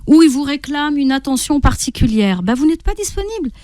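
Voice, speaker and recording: A woman with a fairly high voice speaks as a coach on a radio programme. She explains in a didactic way, checking in with short questions and voicing everyday thoughts as examples. The sound is dry and close, as in a studio. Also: big pitch swings, brisk, darkish tone, no echo. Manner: didactic, often checks in with rhetorical questions and acts out inner speech. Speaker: guest parenting coach and therapist.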